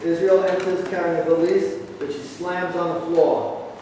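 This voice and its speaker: A man's voice: sits high, flat delivery, normal speed, warm tone. A man reading stage directions as scripted narration at a staged play reading. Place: live staged reading in a hall. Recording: echoey hall, distant mic, clear noise.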